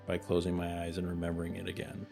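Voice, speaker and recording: A man in his forties, co-hosting a podcast, talking candidly and a little haltingly in casual chat. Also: sits low, completely flat delivery, gravelly, over music. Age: 40s. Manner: candid, hesitant with restarts. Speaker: podcast co-host.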